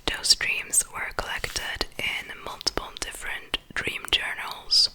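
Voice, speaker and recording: A young woman with a Swedish accent, whispering softly and slowly as an ASMR creator. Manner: soft unvoiced whisper, slow and unhurried.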